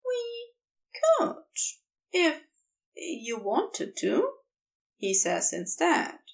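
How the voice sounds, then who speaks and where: A woman reading a story aloud as an expressive narrator, recorded a little way from the microphone. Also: high-pitched, recorded from a distance. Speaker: fan fiction audio reader.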